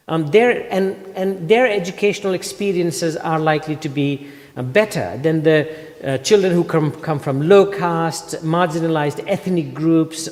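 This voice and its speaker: A man with a fairly high voice asking a question at an academic conference. He speaks hesitantly, with many fillers and restarts, and the sound trails off in the long reverb of a big hall. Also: a little mellow.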